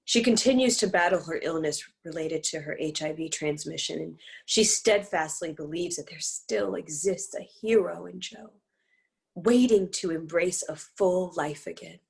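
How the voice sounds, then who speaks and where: A woman reading dramatic scripted narration in a measured storytelling manner. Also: lowish voice, gravelly.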